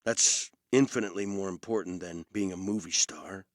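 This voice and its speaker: An actor playing an aging movie star in an audio drama, a gentle, wry and understated older brother. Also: male voice, sits low, moderate pace, dry recording, studio quality, natural voice. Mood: wry, evasive.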